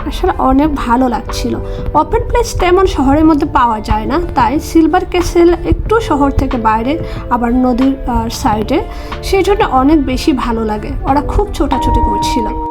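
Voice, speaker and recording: High-pitched Bangladeshi woman narrating, music behind. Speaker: vlog narrator. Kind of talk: casual family travel vlog voice-over.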